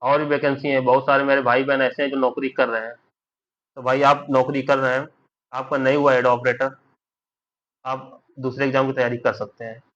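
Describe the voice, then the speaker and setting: A man's voice: mid-range voice, flat delivery, fairly quick, fairly bright tone. A young man giving informal commentary straight to his audience, repeating points and often checking that listeners follow. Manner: repetitive, with frequent check-in tag questions. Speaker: exam-guidance video creator. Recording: dull lo-fi audio.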